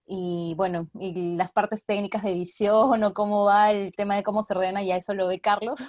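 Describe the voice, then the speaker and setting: A woman's voice: medium pitch, level tone, moderate pace, darkish tone, dry recording. Woman explaining things casually, dry sound. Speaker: radio theatre actress being interviewed. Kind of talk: casual explanatory interview talk.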